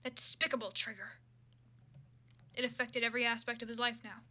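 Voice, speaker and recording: A woman's voice: fairly high pitch, steady tone, a little fast, sharp tone, slight background noise. A woman reading fan fiction aloud with casual, irreverent and sometimes profane commentary.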